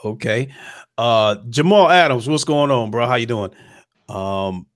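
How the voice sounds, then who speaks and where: A man hosting an interview, talking in a relaxed way with many hesitations. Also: mid-range voice, unhurried, dry recording, clean studio sound. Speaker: host. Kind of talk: casual interview.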